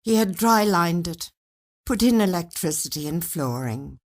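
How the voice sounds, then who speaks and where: A woman with a low voice reads a short story aloud. Her delivery is slow and measured, yet the intonation moves widely and expressively through each sentence. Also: expressive. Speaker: story reader. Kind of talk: scripted short story narration.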